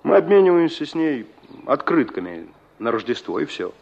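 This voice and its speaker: A man's voice: fairly high pitch, big pitch swings, moderate pace, vocal fry, darkish tone, exaggerated voice. An actor playing a plain, practical young village man in a Soviet radio drama, wistful and sensible in colloquial speech. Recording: muffled old radio drama recording.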